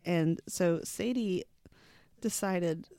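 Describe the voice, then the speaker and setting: A woman's voice: on the low side, drawn-out words, mellow, no room sound. A woman co-hosting a podcast in casual chat, with a slightly congested sound. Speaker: podcast co-host.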